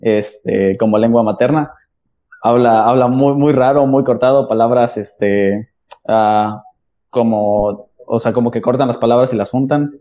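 A man's voice: medium pitch, measured pace, mellow. A man hosting a casual chat, laughing along and musing aloud.

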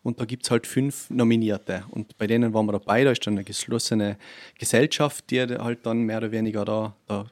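A man's voice: fairly low pitch, steady tone, fairly quick, no echo. A man with a Tyrolean Austrian accent chatting as a musician guest, telling anecdotes in a casual way. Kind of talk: casual anecdotal interview chat.

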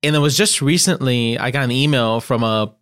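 A young man from Puerto Rico with a Puerto Rican Spanish accent, Spanish his first language, chatting as a podcast guest in an animated, halting way with many restarts. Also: medium pitch, clear, resonant tone. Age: young adult. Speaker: guest, agency principal. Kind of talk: casual interview chat.

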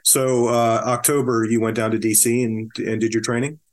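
American man, mid-pitched, careful conversational interviewer for oral history. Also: little pitch movement, normal speed.

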